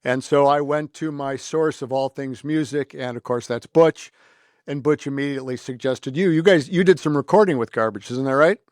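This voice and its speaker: A man's voice: medium pitch, moderate pace, a little mellow, no echo, clean studio sound. A man hosting a podcast, talking in a casual, conversational way. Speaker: podcast host. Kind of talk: casual interview chat with some scripted appeals.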